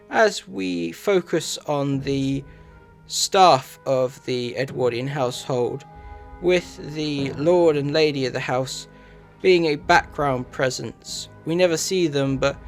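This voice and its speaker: A man narrating a review in measured, somewhat halting phrases. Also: mid-range voice.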